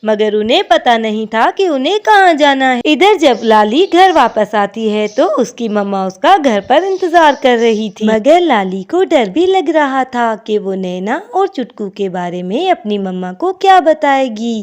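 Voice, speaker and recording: Expressive woman narrating a children's cartoon. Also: fairly high pitch, measured pace, few pauses.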